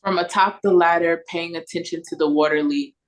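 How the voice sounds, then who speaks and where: A woman's voice: on the low side, fairly even intonation, brisk, a little hiss or hum. A woman reading stage directions as narrator in a scripted read-through over a video call.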